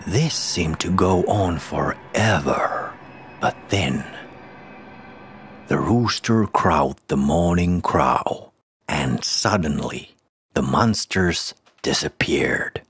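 Very deep, slow male storyteller. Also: lively intonation, fairly bright tone. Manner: dramatic, deliberate storytelling. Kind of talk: scripted horror folktale narration. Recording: music behind the voice. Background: music.

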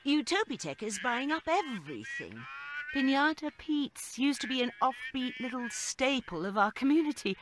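A woman's voice: high voice, very animated, moderate pace, over music. A woman playing a sarcastic shopkeeper who also narrates, in a video game. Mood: dry. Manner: sarcastic, dry teasing. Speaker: comic shop clerk and narrator.

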